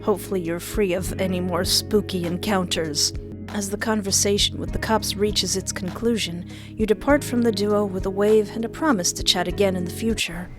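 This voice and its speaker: A woman gives expressive, playful narration of a visual novel, like a storyteller. Her pitch is middling for a woman, and faint music plays behind her. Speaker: game streamer reading visual novel aloud. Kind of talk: expressive scripted narration with casual asides.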